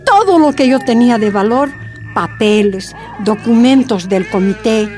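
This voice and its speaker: A woman's voice: on the high side, animated, a little slow, darkish tone, soft background music. An actress playing an earnest, pained Bolivian mother giving testimony in a radio drama. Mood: distressed.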